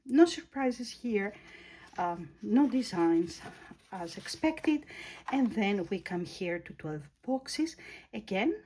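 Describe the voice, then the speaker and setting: A woman with a Greek accent, English her second language, casually reviewing a planner and pausing to search for words. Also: medium pitch, lively intonation, mellow, slight background noise. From Greece. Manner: slow, thoughtful, searching for words. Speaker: planner vlogger.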